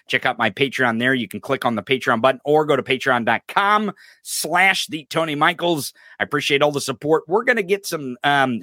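Bright, upbeat podcast host man. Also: medium pitch, no room sound, studio quality. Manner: joking, upbeat. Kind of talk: casual podcast chat.

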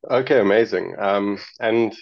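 Lowish male interviewer, slightly dull sound. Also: a little slow, gravelly. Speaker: interviewer, show host. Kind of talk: conversational interviewing.

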